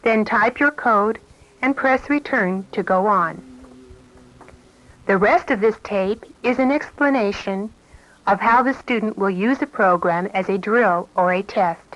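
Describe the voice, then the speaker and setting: A woman reading scripted instructional narration in a measured, explanatory manner on an old 1970s cassette tape. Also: mid-range voice, fairly bright tone, dull audio. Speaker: narrator of an educational program tape.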